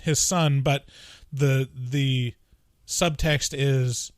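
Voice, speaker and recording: A man's voice: mid-range voice, fairly even intonation, extremely slow, dry recording, studio quality. A man in casual movie review chat, talking loosely with lots of "like" and "you know" and the odd laugh. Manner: chatty, frequent "like" and "you know", laughs between points.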